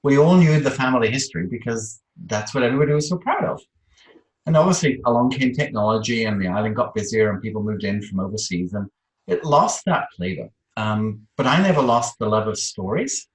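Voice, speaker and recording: A man's voice: mid-range voice, lively intonation, a little fast. A man with a British accent telling personal stories conversationally as an interview guest. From Isle of Man, UK. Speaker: interview guest, social media expert. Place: online video call in a room. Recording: roomy, echoey video-call sound.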